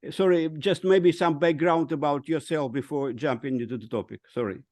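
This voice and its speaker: A man hosting a podcast interview in a formal, halting manner. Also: on the high side, a little mellow, no echo, clean studio sound. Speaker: podcast host.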